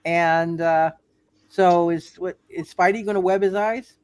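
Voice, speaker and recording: A man narrating a tabletop game as game master, improvising in a halting way and repeating himself. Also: high-pitched, steady tone, smooth.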